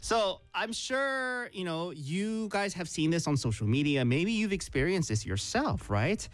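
A man co-hosting a live stream whose pitch jumps all over as he talks, speaking with a slow, unhurried pace. He switches between Korean and carefully spaced English, calling out to his viewers. The sound is dry and close to the mic. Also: no room sound. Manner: animated, calls out to viewers, slow clear English explanations. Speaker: co-host teaching English.

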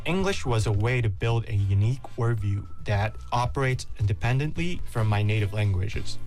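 A man's voice: lowish voice, animated, normal speed, a little mellow. A young man in his twenties with a Korean accent, a student answering interview questions earnestly and thoughtfully. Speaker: guest, university student. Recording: faint background music.

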